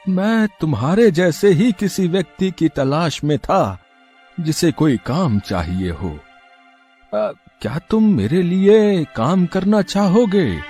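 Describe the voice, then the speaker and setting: Guy doing a gentle old village man for a moral story cartoon, slow n swingy, music behind. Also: on the high side, voice pushed up, heavily acted. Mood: friendly, offering. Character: elderly village man, gentle and earnest patron. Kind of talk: Hindi animated moral story acting. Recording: music under the voice.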